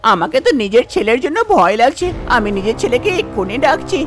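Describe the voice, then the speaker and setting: A woman's voice: fairly high pitch, big pitch swings, a little fast, music in the background. A woman voicing an anxious, bossy mother of a grown son in a cartoon story, with urgent exclamations. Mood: alarmed, urgent. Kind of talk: Bengali cartoon story dialogue.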